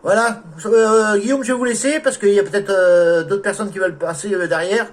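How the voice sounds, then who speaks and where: A man's voice: high-pitched, steady tone, brisk. A man from France chatting casually as an amateur radio operator, with courteous sign-offs. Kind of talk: casual radio contact chat.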